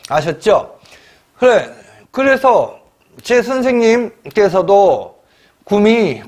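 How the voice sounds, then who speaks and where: A middle-aged man giving a Korean exam-prep lecture, emphatic and scolding, repeating phrases and often clearing his throat. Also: high-pitched.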